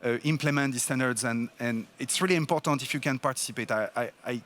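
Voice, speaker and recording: A man's voice: medium pitch, fast, a bit bright. Emphatic man presenting at a conference. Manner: emphatic and encouraging, with fillers. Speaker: conference speaker.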